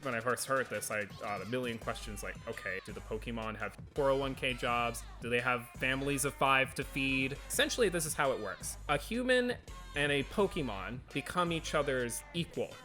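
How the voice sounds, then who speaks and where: A man's voice: mid-range voice, expressive, normal speed, bright, forceful tone, faint music behind. A man giving a casual film review as co-host of a movie review show.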